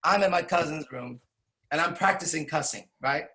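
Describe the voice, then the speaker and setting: A man's voice: a little high, normal speed, sharp tone. A man in his forties telling a rambling, profane personal story, full of restarts and tag questions. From Iran. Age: 40s. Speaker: podcast host. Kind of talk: candid storytelling monologue.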